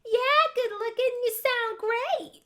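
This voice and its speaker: A woman's voice: very high voice, steady tone, slow pace, voice pushed up, full character voice. A woman voicing an exuberant young female fan in a visual novel, gushing and calling out cheers. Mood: excited cheering. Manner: shouting, squealing, gushing.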